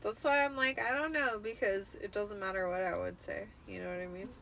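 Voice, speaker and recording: A woman's voice: mid-range voice, steady tone, brisk, sharp tone. Tipsy woman chatting over room noise. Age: 30s. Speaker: host reading a book aloud. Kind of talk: casual chat with dramatic book reading. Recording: clearly noisy room ambience.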